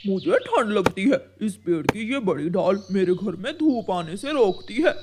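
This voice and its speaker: A woman voicing a grumpy, selfish male crow in an animated children's story, curt and defiant. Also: medium pitch, measured pace, background noise. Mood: smug, unbothered.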